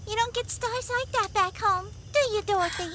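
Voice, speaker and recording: A woman voicing an excitable, chatty hen with an animated, squawky delivery in a fantasy audio drama. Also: very high voice, normal speed, slight background noise. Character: talking hen, excitable, chatty female companion. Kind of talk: full-cast fantasy audio drama acting.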